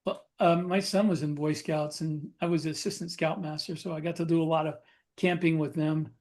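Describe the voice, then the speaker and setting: A man's voice: fairly high pitch, flat delivery, fairly quick, a little mellow. A middle-aged American man in casual conversation as a podcast co-host, plain-spoken, often restarting his sentences. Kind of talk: casual chat.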